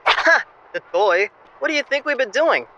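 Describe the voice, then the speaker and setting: Man playing a high-voiced, breezy young student guy. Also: moderate pace. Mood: flippant, playful. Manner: flippant, evasive, excitable. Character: breezy, self-absorbed young male exchange student. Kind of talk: audio drama acting as a phone call. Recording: noisy phone-call style recording.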